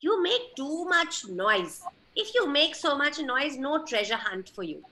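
A woman voicing a strict but loving old grandmother duck who scolds slowly and firmly. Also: high voice, sing-song, sharp tone, a little hiss or hum, pitched above the performer's own voice, exaggerated voice. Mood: scolding. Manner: scolding, slow and firm.

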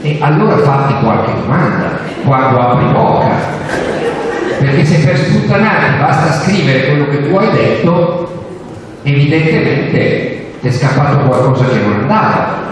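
A man's voice: mid-range voice, animated, a little slow, crowd noise. An older man speaking at a panel, sarcastic and mocking, building his points toward punchlines while gesturing with a handheld mic on stage. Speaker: journalist speaking at a book fair panel. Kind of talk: sarcastic public talk to an audience. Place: large hall on stage. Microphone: handheld microphone, recorded from the audience. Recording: echoey hall from far off.

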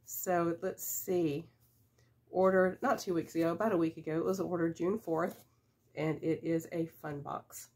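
A woman's voice: on the low side, steady tone, a little slow, darkish tone, slightly rough. A woman in her fifties with a stuffy head cold, chatting casually and unhurriedly as she unboxes items, with small laughs and fillers. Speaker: reseller vlogger. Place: small room with shelves of boxes, indoors. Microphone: camera at desk distance.

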